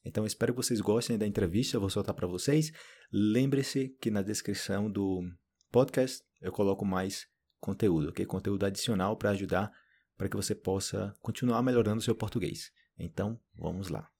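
A man from Brazil hosts a relaxed podcast interview with a low, mellow voice. His delivery is upbeat and slangy, full of casual energy. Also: brisk, breathy edge. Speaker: podcast host.

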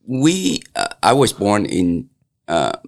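A man in his sixties from Vietnam, speaking English with a Vietnamese accent in a casual interview, halting and full of fillers and restarts. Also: fairly low pitch, wildly swooping pitch, creaky. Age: 60s. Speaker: interviewee, local business owner. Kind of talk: casual oral-history interview.

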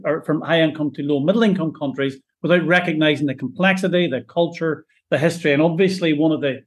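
A man hosts an interview and asks long, winding questions, often stopping to rephrase. His voice sits a touch higher than most men's. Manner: rambling, self-correcting questions. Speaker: interview host. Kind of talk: hosting a panel interview.

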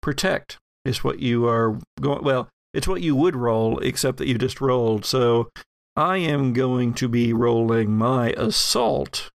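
Male game master, unhurried with a creaky edge, narrating gothic horror in halting phrases, dry close sound. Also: mid-range voice, expressive, no room sound.

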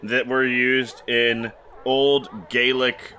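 A man narrates as game master of a tabletop game, bright and crisp in tone. He talks quickly and playfully, slipping into side characters' voices. A faint hiss sits under the recording. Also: mid-range voice, level tone.

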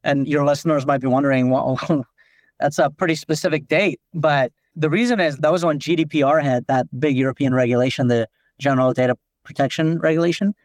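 A man from Nepal speaks as a guest on a business podcast, walking through his ideas with frequent "like" fillers. His voice sits a bit high. The sound is dry and close, with no room echo. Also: fairly quick. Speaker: company founder and CEO, guest.

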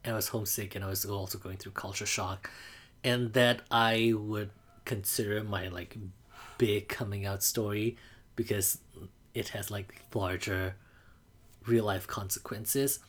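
A man, calmly telling a personal coming-out story to the camera, often pausing on "like" as he thinks. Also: on the low side. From Burma. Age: 20s. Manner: reflective, unhurried, frequent "like" fillers.

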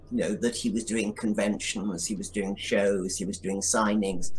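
A man's voice: medium pitch, slight background noise, low-quality recording. A man who produced an audio drama, answering interview questions with reflective, wry anecdotes.

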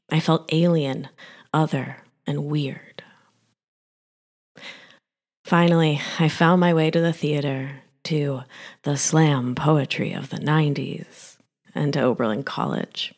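A woman in her forties, born in Argentina, telling her own life story in slow, reflective scripted narration that often trails off into soft whispers. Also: deep voice. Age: 40s. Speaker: health practitioner.

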